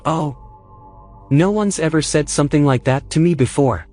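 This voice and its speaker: A man's voice: on the high side, moderate pace, a little mellow, natural voice. A man playing an eager, loyal AI sidekick in an audio drama, polite, earnest and precise. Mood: touched, excited. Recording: music under the dialogue.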